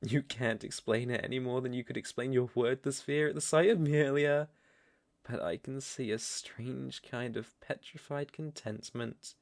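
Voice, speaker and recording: A man's voice: mid-range voice, moderate pace, vocal fry, dry recording, clean studio sound, natural voice. A British man playing a seductive, domineering vampire lord in an intimate ASMR roleplay, with teasing, ornate, condescending speech. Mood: soft, seductive.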